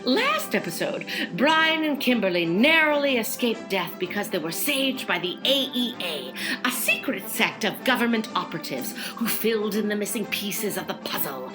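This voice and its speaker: A woman's voice: mid-range voice, big pitch swings, moderate pace, over music. A woman announcer introducing a radio play in a melodramatic, self-important way, stretching words for emphasis. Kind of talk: theatrical scripted narration.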